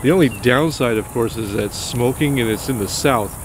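A man in his forties vlogging outdoors from an RV campground, chatty and excitable, rambling with restarts. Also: mid-range voice, normal speed, background noise. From New Hampshire. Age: 40s. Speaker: RV travel vlogger. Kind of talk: casual vlog talk to camera.

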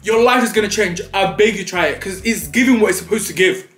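A man's voice: sits high, expressive, fast talker, fairly bright tone, reverberant, faint music behind. A young man, chatting dramatically and playfully in a food vlog while eating at a table. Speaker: vlogger. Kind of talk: mukbang vlog chat. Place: small indoor studio set at a table.

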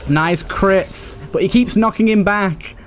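A man's voice: sits high, slow, soft background music. A man giving casual, informal let's play commentary, thinking aloud with some mild swearing. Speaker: gaming video host.

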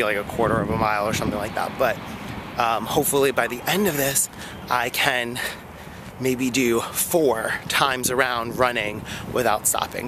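A man in his thirties, out of breath, rambles into a phone held at arm's length as he walks a park path. He talks in a chatty way, full of fillers. Clear outdoor noise and passing cars sit under his medium-pitched voice. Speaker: vlogger. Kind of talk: casual walking vlog. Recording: noisy outdoor phone recording.

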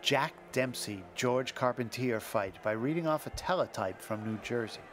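A man's voice: mid-range voice, expressive, moderate pace, very noisy. Man narrating radio history. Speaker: podcast host and narrator.

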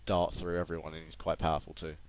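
A man's voice: rumbling low, completely flat delivery, fast, a little hiss or hum. A tired young man giving casual, rambling, informal commentary on a video game. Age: young adult. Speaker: gameplay commentator. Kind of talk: casual let's play commentary. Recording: game music and effects loud behind. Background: video game music and sound effects.

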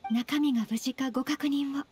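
A woman voicing a polite young noblewoman in an anime game, gentle, dignified, formal and measured. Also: high voice, steady tone, a little hiss or hum, close to an everyday voice. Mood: composed, courteous. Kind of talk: anime game voice acting in Japanese.

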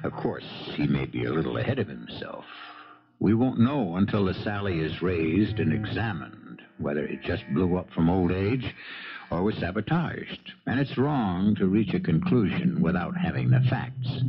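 A low-voiced American man hosts a radio mystery in measured, portentous narration. There is a creaky gravel in his voice, with music clearly behind him. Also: big pitch swings, lo-fi sound. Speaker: host and narrator. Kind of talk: dramatic scripted narration, 1970s radio mystery.

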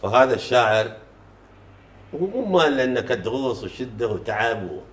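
A middle-aged man, a folk poet chanting verses in measured recitation during a casual sit-down talk in a living room, on a lapel mic. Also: mid-range voice, expressive. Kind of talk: reciting folk poetry in conversation. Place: living room sofa, indoors. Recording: roomy echo.

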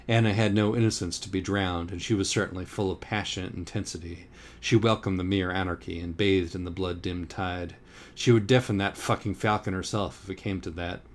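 A man reading a parody novel aloud as dramatic scripted narration, in a solemn deadpan way. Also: deep voice, a little hiss or hum. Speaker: audiobook reader.

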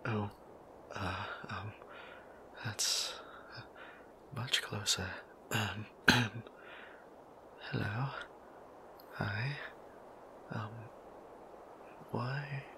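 A man playing a shy, devout vampire priest in an ASMR roleplay, speaking in a hesitant, gently formal, breathy whisper. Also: lowish voice, drawn-out words, long pauses, noisy background. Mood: flustered, shy.

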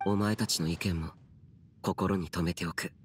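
Man voicing a low, aloof immortal guardian. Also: steady tone, normal speed, slight background noise, natural voice. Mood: solemn, reserved. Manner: terse, solemn, archaic formal wording. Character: stern, aloof young male immortal guardian, reserved. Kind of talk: anime game voice acting.

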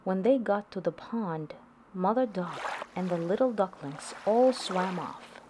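A woman reading a picture book aloud to young children, in a deliberate storytelling delivery. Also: medium pitch, expressive, a little mellow, a little hiss or hum. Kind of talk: read-aloud storybook narration.

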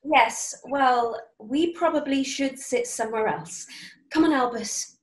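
A woman's voice: high-pitched, takes it slowly, roomy sound, poor audio. A young British woman playing a bossy, blunt schoolgirl witch in a theatrical table read. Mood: bossy, impatient. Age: young adult. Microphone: video call.

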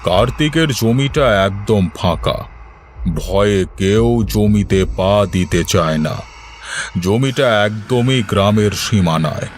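A man narrates a scripted horror story in a low voice. His delivery is slow and measured, as a storyteller's. There is clear background noise under the narration. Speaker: storyteller narrating as a tantric exorcist.

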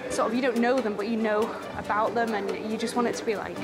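A woman's voice: on the high side, steady tone, fast talker, over a noisy crowd. A young woman with an English accent, chatting upbeat and laughing easily while setting up an event at a station. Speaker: railway events organiser. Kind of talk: casual on-location chat. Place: outdoor railway station platform.

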